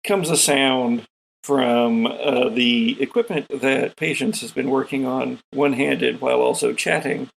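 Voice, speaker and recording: A man's voice: mid-range voice, fairly even intonation, measured pace, vocal fry, husky, poor audio. A man narrating a tabletop game as its game master, dramatically setting each scene. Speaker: game master, podcast host.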